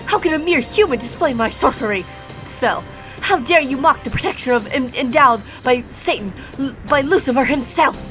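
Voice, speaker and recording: A woman's voice: high-pitched, animated, normal speed, fairly bright tone, faint music behind, voice pushed up. A woman voicing a haughty, outraged evil sorcerer in a video game, pompous and theatrical. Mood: outraged, incredulous. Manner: pompous, indignant, declamatory. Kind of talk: hammy game dialogue voice-over.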